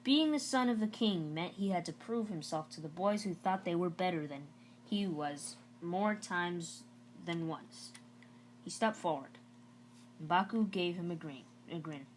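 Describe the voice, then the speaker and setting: A woman with a slightly low voice reads a children's novel aloud as narrator. The recording carries a faint hum and light noise. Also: expressive, unhurried.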